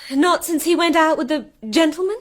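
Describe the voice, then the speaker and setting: A woman's voice: very high-pitched, brisk. A woman acting a genteel, anxious wife and mistress of a country house in a radio play, polite but commanding with servants. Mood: puzzled, anxious.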